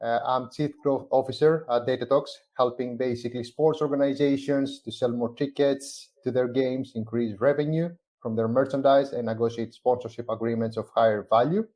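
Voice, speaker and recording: A man from Greece, a company's chief growth officer, presenting a business book in a measured way with frequent "uh" fillers. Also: medium pitch, steady tone, darkish tone. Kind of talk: semi-scripted presentation on a live stream.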